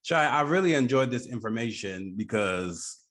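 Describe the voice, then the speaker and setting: A man's voice: mid-range voice, lively intonation, moderate pace, fairly bright tone. A man hosting a podcast, interviewing his guest in a casual, conversational style. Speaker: podcast host.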